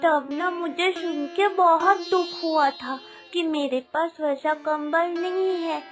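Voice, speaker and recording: A poor little village girl in a cartoon tale, eager and wistful. Her voice is extremely high and childlike. She speaks slowly and pleadingly over faint music. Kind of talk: Hindi cartoon story dubbing.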